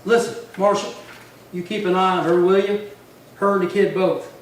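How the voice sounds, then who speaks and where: A proud, feuding cowboy played by a man talks in a high voice and rough Old West dialect. The radio-play performance rings with echo and sits back from the mic. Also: fairly even intonation, moderate pace, close to an everyday voice. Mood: subdued, resigned. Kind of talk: Western radio drama acting. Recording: echoey hall, distant mic, faint noise.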